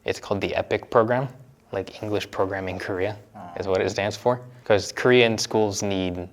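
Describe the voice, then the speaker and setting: Young man, from the United States, lowish voice, relaxed, laughs often, casual interview. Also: normal speed. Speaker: interviewee, university student.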